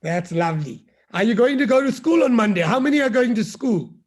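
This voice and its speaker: A middle-aged man with a gruff, scratchy voice, reading a children's story aloud and chatting with the kids. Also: very high-pitched, lively intonation, brisk. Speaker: university head reading to children.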